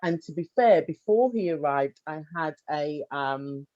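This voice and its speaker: A woman hosting an interview, talking in an animated, rambling way with many restarts. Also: low-pitched, unhurried, no room sound, lo-fi sound. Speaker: podcast host. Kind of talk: casual interview chat.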